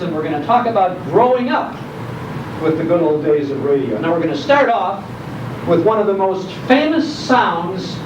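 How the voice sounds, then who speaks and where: An older American man from Chicago giving a humorous, nostalgic storytelling talk to a live audience. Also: high voice. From Chicago, United States. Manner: storytelling, rhetorical questions, humorous. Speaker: public speaker on old-time radio. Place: indoor program hall before an audience. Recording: echoey hall, distant mic, noisy, dull.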